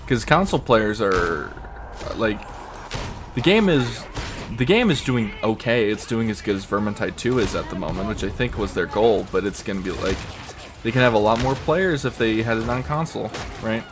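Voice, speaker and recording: A man's voice: moderate pace, over music. Rambling young man with a scratchy throat. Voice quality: deep, fairly monotone. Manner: rambling, many fillers, swears casually. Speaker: gamer commenting while playing. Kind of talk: casual gameplay commentary.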